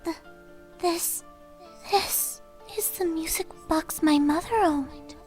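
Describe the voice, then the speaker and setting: A woman voicing a timid, gentle young female cartoon pony who speaks hesitantly and stammers. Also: very high-pitched, takes it slowly, faint music behind, voice pushed up. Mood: shaken, wondering.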